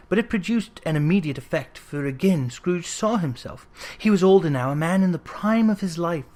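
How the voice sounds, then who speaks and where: A narrator reading Victorian fiction aloud in an expressive, theatrical storytelling manner. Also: medium pitch. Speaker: audiobook reader.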